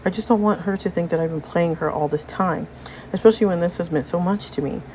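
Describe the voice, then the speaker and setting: A woman's voice: on the low side, fast talker, darkish tone, a little hiss or hum, lightly acted, poor audio. A woman voicing an earnest, anxious young bad boy who has fallen in love, in a romance story read-aloud.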